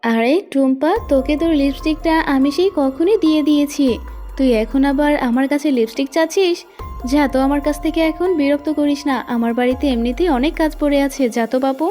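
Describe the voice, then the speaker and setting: A woman's voice: high voice, fairly quick, mellow, faint music behind, close to an everyday voice. A woman voicing a sly, deceitful adult female fox with a brazen, dismissive manner in a children's cartoon. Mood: dismissive, scheming.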